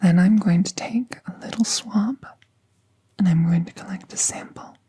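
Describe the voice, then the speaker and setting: A woman playing a gentle magical veterinarian in an ASMR roleplay, soothing and reassuring in a hushed, breathy voice. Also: mid-range voice, normal speed. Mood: calm, reassuring.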